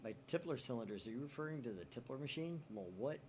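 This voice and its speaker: A man's voice: mid-range voice, animated, fast, a little mellow, slight background noise. A man hosting a let's play, reading a visual novel's chat posts aloud and swearing casually.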